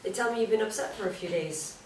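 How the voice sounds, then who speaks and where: An actress playing a sympathetic hospital nurse in a stage play reading, kindly and concerned. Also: female voice, medium pitch, quick pace, lightly acted. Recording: roomy distant recording with faint noise.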